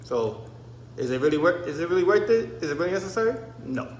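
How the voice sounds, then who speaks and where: A man's voice: on the high side, lively intonation, fast talker, a little mellow, a little hiss or hum. A man in his thirties from Barbados, raised in the US, telling a personal story in a rambling vlog with many restarts. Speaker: vlogger. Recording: echoey room.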